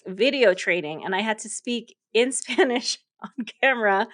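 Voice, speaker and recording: A woman's voice: on the high side, normal speed, a bit bright. A woman in her thirties, a podcast host being interviewed, talking casually with lots of fillers and frequent laughter. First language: English. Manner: chatty, laughs often, many fillers.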